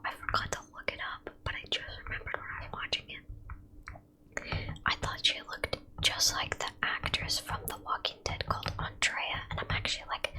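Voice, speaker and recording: Whispering young woman chatting over gameplay. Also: low-quality recording. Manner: whispering throughout, chatty, rambling, quickly frustrated by opponents. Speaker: gaming video creator.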